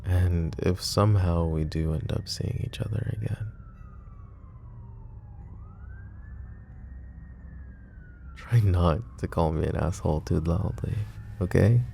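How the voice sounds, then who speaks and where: A male voice actor playing a lovesick, brooding young mafia boss in an ASMR roleplay. He speaks softly and intimately, sometimes dropping to a whisper, with wry, self-mocking humor. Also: low-pitched, moderate pace, vocal fry, a little hiss or hum. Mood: tender farewell. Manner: soft, intimate, drops to whispers.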